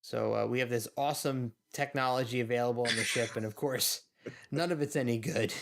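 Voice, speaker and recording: A man's voice: mid-range voice, brisk, gravelly, background noise. Wryly musing man, casual podcast commentator. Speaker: podcast co-host.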